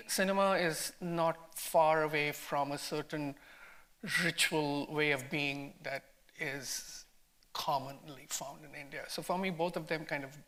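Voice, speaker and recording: Bright, creaky, higher-pitched male filmmaker. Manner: emphatic, reflective, repeats phrases. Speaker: film director and scholar. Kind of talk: unscripted panel answer.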